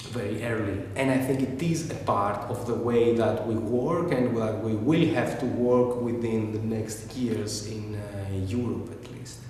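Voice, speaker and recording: Halting non-native man in an echoey hall. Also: fairly low pitch, darkish tone, a little hiss or hum. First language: not English. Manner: thoughtful, halting with many fillers and repeats. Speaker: theater maker. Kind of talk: panel discussion. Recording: echoey hall, far from mic.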